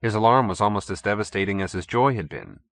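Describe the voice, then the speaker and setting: A man's voice: fairly low pitch, expressive, quick pace, creaky, a bit bright, no echo. A man reading fiction as an audiobook narrator, in scripted storytelling style.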